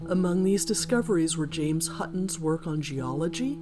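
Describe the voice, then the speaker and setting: A woman presenting a scripted narration in a measured, explanatory manner. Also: sits low, expressive. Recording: guitar music behind the voice. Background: plucked guitar music.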